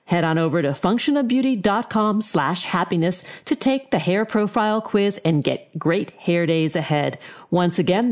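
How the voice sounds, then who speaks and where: A woman's voice: lowish voice, a little mellow. A woman hosting a talk show, presenting to listeners. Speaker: host.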